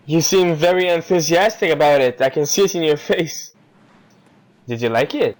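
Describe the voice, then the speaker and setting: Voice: medium pitch, moderate pace. A radio show host presenting from a script and asking interview questions.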